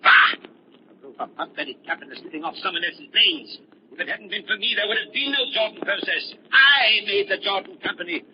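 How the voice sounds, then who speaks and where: An actor playing an embittered, paranoid older scientist who feigns kindness, in theatrical 1930s radio melodrama style. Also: male voice, a little high, close to an everyday voice. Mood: bitter ranting. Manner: theatrical, volatile, swinging from soothing to ranting. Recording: muffled, lightly noisy 1930s radio recording.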